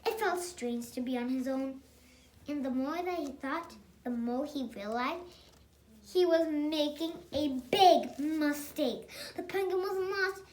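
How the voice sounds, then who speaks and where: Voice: high-pitched, a little slow. A child reading a picture book aloud expressively, with chatty asides. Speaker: storybook reader.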